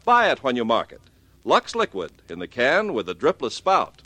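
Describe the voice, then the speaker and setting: Very animated male radio pitchman. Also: normal speed, no room sound. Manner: polished salesman delivery. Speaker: commercial announcer. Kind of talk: 1950s radio advertisement read.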